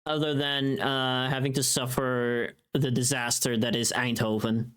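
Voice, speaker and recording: VTuber stream host, medium pitch, off-the-cuff and teasing, casual rambling chat with light swearing. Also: little pitch movement, measured pace, fairly bright tone, smooth. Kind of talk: casual livestream chat with viewers.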